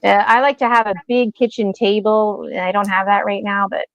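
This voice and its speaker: A woman's voice: medium pitch, fairly even intonation, moderate pace, smooth, no room sound, highs cut off. A woman in her forties giving a casual oracle card reading, chatty and rambling, laughing often and acting out little voices. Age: 40s.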